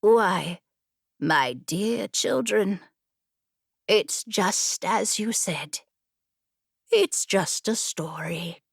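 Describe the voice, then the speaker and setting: Elderly grandmother storyteller woman narrator performing, wry, calm, unhurried, mid-low pitch. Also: vocal fry, husky, dry recording, clean studio sound, full character voice. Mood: wry fondness.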